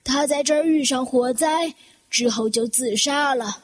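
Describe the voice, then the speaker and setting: A woman's voice: sits high, unhurried. A woman playing a solemn, formal bearer of grave news in an audio drama, speaking with deliberation. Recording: music behind the voice.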